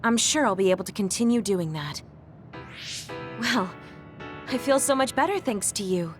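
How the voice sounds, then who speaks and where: A woman voicing a gentle, caring young woman in a video game, speaking politely and softly. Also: mid-range voice, lively intonation, moderate pace, lightly acted. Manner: polite and soft-spoken. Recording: music under the dialogue.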